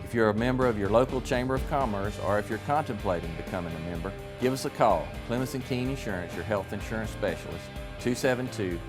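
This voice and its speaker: A man's voice: lowish voice, fast, hardly any pauses. A man reading a scripted commercial voice-over as an advertisement announcer. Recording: music bed under voice. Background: guitar music.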